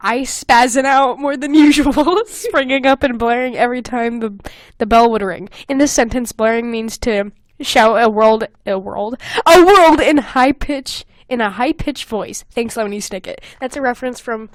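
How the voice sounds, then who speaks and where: A young woman hosting a podcast in casual comedic chat, reading aloud and commenting with animated, sweary exasperation. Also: a little high, moderate pace, no room sound. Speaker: podcast host.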